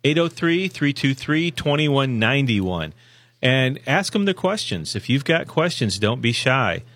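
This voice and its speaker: A man co-hosting a college radio show, hoarse from a sinus infection, talking in a rambling, folksy way with lots of self-corrections and fillers. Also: mid-range voice, sing-song, measured pace, a bit bright, no room sound. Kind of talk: casual radio promo talk. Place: radio studio.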